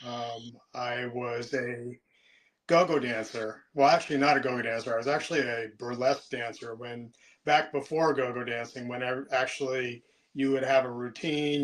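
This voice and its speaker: A man in his late fifties answering interview questions in a relaxed, chatty way, often pausing with ums and restarting his sentences. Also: medium pitch, low-quality recording. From United States. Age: 50s. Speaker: interview guest. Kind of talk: casual interview chat.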